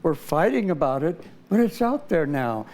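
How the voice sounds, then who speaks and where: A man's voice: a little high, sing-song, normal speed, darkish tone. A man explaining numerology as a guest on a talk show, speaking hesitantly with frequent fillers. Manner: hesitant, many uh fillers and restarts.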